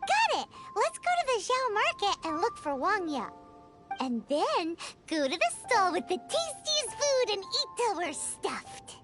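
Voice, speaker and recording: Squeaky, very high childlike fairy. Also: female voice, unhurried, heavily acted. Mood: eager. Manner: excitable, speaks of self in third person. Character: tiny childlike floating fairy guide, cheerful and food-obsessed. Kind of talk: video game character dialogue. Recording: music behind the voice.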